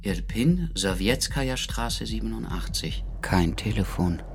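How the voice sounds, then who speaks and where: Despondent man, deep-ish gravelly voice, hesitant, subdued, radio drama. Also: animated, unhurried, noisy background. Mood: guarded. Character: brooding, despondent man hiring his own protection.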